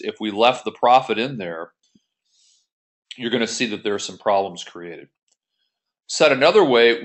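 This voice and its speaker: A man with a fairly low voice gives an explanatory screen-share tutorial. He talks as an instructor, taking things methodically in informal, plain wording. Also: a bit bright. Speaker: accounting instructor.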